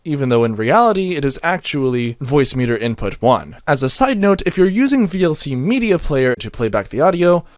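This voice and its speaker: A man reading a scripted software tutorial as a voice-over, with a methodical, step-by-step instructional delivery. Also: medium pitch, dry recording, clean studio sound. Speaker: tutorial narrator.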